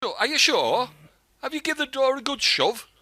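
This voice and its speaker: Man acting a sharp, high-voiced grumbling patrolman. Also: big pitch swings, fairly quick, no room sound, clean studio sound, full character voice. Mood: exasperated. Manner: blunt, colloquial, grumbling. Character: gruff, impatient injured wartime patrol man. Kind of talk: amateur radio drama acting.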